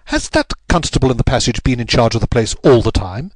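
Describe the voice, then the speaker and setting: A man's voice: mid-range voice, sing-song, brisk, breathy edge, no echo, clean studio sound. A man voicing an intense, masterful detective in an audiobook, speaking in an imperious, clipped manner. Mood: urgent, commanding. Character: intense, commanding male detective, keen and masterful. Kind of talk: audiobook character voice.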